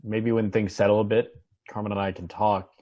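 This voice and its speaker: A man's voice: lowish voice, flat delivery, brisk, mellow, lo-fi sound, lightly acted. A man playing an earnest, troubled doctor in a TV drama read, reflective and understated. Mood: troubled, wistful. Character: Muff, earnest, troubled doctor seeking a new home.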